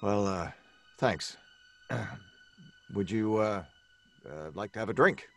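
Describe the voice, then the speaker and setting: An actor playing a nervous, weary, eccentric novelist in an old-time radio mystery, speaking hesitantly with stammers and self-deprecation. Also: male voice, fairly low pitch, slow pace, creaky, a bit bright, full character voice. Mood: uneasy.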